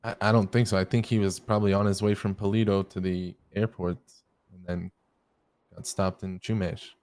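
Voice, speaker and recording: A man in his twenties roleplaying, speaking calmly and reassuringly with some hesitation. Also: deep voice, moderate pace, dry recording. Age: 20s. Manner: calm, reassuring, a bit hesitant. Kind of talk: in-character roleplay chat.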